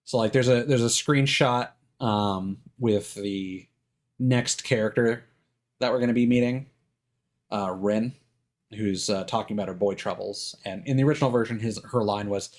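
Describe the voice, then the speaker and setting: A man's voice: lowish voice, normal speed. A man doing casual, rambling live stream commentary on a game, thinking aloud in hesitant fragments.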